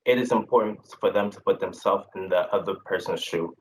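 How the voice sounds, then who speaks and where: A young man from Haiti speaking as a panelist on a video call, earnest and measured. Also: low-pitched, slight background noise, poor audio. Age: young adult. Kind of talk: virtual panel discussion.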